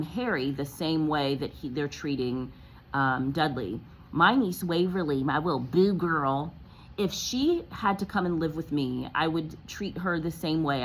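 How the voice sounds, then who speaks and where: A woman teacher reads aloud to young pupils with a lot of melodic movement in her low-set voice. She is expressive and explanatory, as if guiding a class through a story. There is faint noise in the background. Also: poor audio. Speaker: teacher reading aloud to students. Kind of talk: read-aloud of a children's novel with teaching commentary.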